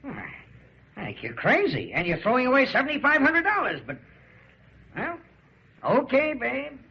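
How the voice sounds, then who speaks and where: An actor playing an excitable, skeptical baseball manager, blunt and exasperated, in an old radio drama. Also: male voice, very high voice, normal speed, creaky, full character voice. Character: excitable, skeptical, scolding baseball team manager, a grown man. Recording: dull, lo-fi old radio recording.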